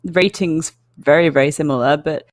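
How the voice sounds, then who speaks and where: A woman casting an esports match, giving measured, chatty analysis with frequent fillers. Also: low-pitched, no echo, clean studio sound. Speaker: esports caster.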